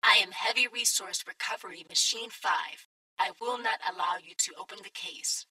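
A woman's voice: mid-range voice, moderate pace, pushed and bright, breathy edge, recorded from a distance, poor audio. A woman playing a hostile security droid in a sci-fi audio drama, speaking in a formal, clipped and menacing manner. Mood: cold, threatening. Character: hostile security droid guarding a case, coldly threatening.

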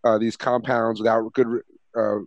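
A man's voice: mid-range voice, flat delivery, normal speed, no echo, muffled recording. A man hosting a podcast, chatting earnestly with frequent restarts and fillers. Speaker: podcast host. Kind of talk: casual interview chat.

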